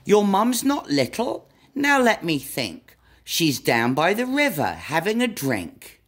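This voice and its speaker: A woman's voice: on the low side, wildly swooping pitch, takes it slowly, slightly rough, exaggerated voice. A woman reading a storybook aloud as a helpful, confident but muddled butterfly guide. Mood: eager.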